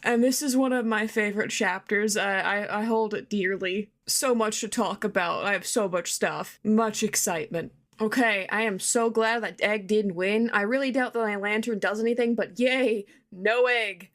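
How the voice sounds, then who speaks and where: A woman's voice: medium pitch, moderate pace, few pauses, fairly bright tone. A woman with a mid-range voice records a listener message. She gives fan commentary in an earnest, enthusiastic way. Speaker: podcast listener sending voice message.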